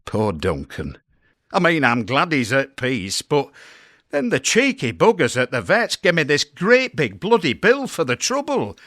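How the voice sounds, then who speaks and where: British male cat owner played by an actor, chatty and wry, very lively intonation, close dry sound, comic radio monologue. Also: medium pitch, measured pace, dry recording, full character voice. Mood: incredulous. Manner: wry, conversational storytelling with emphatic swoops. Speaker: actor performing a comedy monologue.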